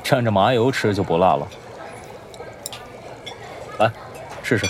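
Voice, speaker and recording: A man acting a composed, sardonic senior film star in an audio drama, dry and measured, with music behind him. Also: fairly low pitch, expressive, noisy background. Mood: coaxing.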